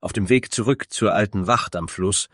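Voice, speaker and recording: A man's voice: fairly low pitch, normal speed, fairly bright tone, dry recording, clean studio sound. A man reading scripted narration for a fantasy story as its narrator.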